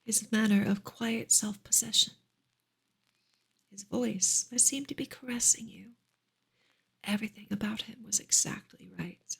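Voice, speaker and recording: A woman reads a novel aloud in a soft, hushed ASMR style, sometimes close to a whisper. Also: medium pitch, steady tone, a little slow, vocal fry, long pauses, husky. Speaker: reader on an ASMR channel. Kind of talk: soft ASMR audiobook reading.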